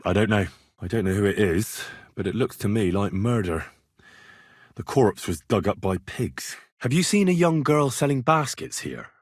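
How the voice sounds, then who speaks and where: A man voicing an earnest, bold young man in a medieval video game, with a slightly husky voice and a plain-spoken, conversational delivery. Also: on the low side, lively intonation, moderate pace, no echo, studio quality. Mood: concerned, questioning. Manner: conversational, earnest, assertive when challenged. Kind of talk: game dialogue voice lines.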